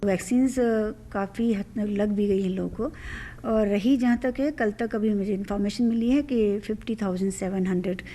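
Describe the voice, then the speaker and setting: A woman official answering unscripted, speaking haltingly and mixing in English terms. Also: medium pitch, mellow, rough recording. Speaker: health official giving a press comment. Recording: faint ambient background noise.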